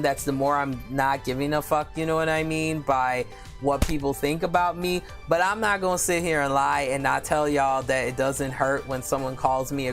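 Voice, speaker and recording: Deep-voiced woman streamer, casual and candid, filler-heavy chat over faint music. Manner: candid and chatty, many "you know" fillers, quick laughs. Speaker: live streamer. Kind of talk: casual live-stream chat, reading viewer comments aloud.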